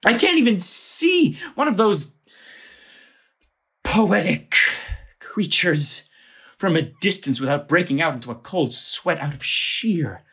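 Woman playing an irate, husky, blustering landowner. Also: on the low side, sing-song, takes it slowly, a bit bright, exaggerated voice. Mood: fuming. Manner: ranting, exasperated, theatrical. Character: irate, blustering male landowner demanding his money. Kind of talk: theatrical audio play acting.

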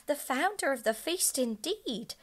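A woman voicing an indignant, sharp-tongued mother of a poor family, loud and scornful. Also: mid-range voice, unhurried.

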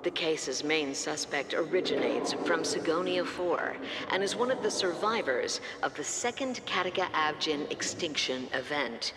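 An actress playing a formal, impersonal news announcer reading an official bulletin in a video game. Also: female voice, low voice, a bit bright. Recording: reverberant broadcast with faint noise.

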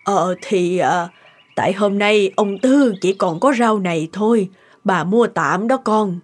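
Hesitant, kindly elderly cartoon grandmother. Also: mid-range voice. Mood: hesitant, uneasy. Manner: halting, evasive, with stretched hesitations. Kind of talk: cartoon dialogue acting.

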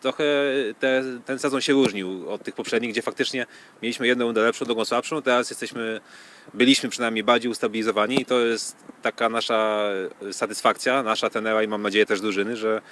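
A man in his thirties giving measured, hesitant answers in a sports interview, speaking into a handheld microphone on a pitch. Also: fairly even intonation, fairly bright tone. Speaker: football club official being interviewed. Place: outdoors on a football training pitch. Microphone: handheld reporter microphone. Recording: outdoor field noise.

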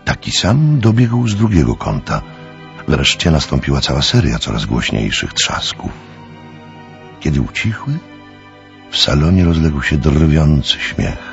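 A man narrates a suspense story in an extremely low, gravelly voice with lively intonation. Music plays faintly behind him. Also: measured pace, lo-fi sound.

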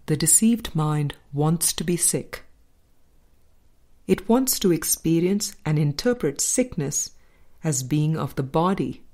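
A woman narrating a spiritual book aloud in a measured, deliberate way, pausing between phrases. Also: deep voice, dark tone. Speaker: audiobook narrator.

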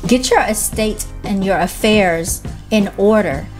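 A woman's voice: mid-range voice, takes it slowly, faint music behind. A woman in her thirties giving direct, emphatic money advice to camera from a couch indoors, peppering it with rhetorical questions. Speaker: clothing brand owner giving financial advice. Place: indoor room with fairy lights, seated on couch.

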